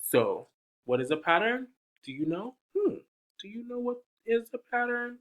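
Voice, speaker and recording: A man's voice: very high voice, sing-song, fairly bright tone. A man reading a picture book aloud to young children, coaxing them with questions and counting along. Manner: slow, sing-song, coaxing questions to young listeners.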